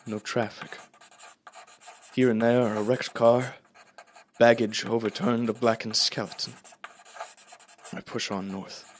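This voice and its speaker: A man's voice: medium pitch, level tone, a little hiss or hum, close to an everyday voice. A young actor playing a haunted professor, a lone survivor solemnly narrating his journal in a theatrical radio drama. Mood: weary, watchful. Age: young adult. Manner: solemn, deliberate, brooding.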